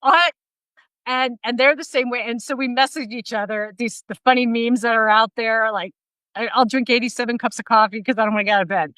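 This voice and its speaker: Bright high-energy woman host on a video call. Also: brisk, no room sound, poor audio. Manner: energetic, laughing often, animated hands. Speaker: podcast host. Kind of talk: casual interview chat. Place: bright room at home, indoors. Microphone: video call, laptop mic.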